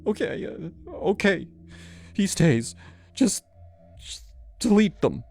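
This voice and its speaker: A man's voice: wildly swooping pitch, normal speed, vocal fry, a little mellow, soft background music, heavily acted. A man voicing a frantic middle-aged mother who shrieks and panics. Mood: sobbing, pleading. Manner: shrieking, high-strung.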